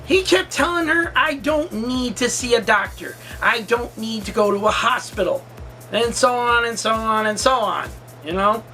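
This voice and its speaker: A man's voice: very high-pitched, moderate pace, bright, forceful tone, soft background music. A man in his forties telling a personal story casually, rambling and emphatic, often repeating himself. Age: 40s. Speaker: storytime vlogger.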